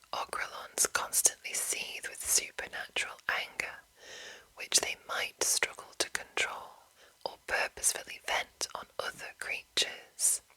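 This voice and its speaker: A woman whispers slowly and breathily, as an ASMR host reading from a game manual. Her phrasing is hushed and deliberate, with a crisp, bright edge to the airy sound. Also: low-quality recording.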